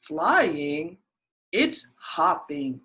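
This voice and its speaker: Woman doing a stubborn, low-pitched storybook contrarian. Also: sing-song, drawn-out words, pushed and bright, exaggerated voice. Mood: contradicting, scornful. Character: stubborn, contrary arguer who sees a rabbit.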